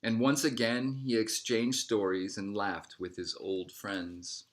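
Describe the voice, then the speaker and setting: A man reading a picture book aloud as a narrator, in measured phrases that settle at the ends. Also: fairly low pitch, flat delivery. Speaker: storybook reader.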